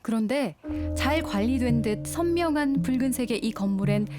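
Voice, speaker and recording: Calm woman narrating a travel documentary. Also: a little high. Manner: calm, measured storytelling. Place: studio voice-over. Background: music.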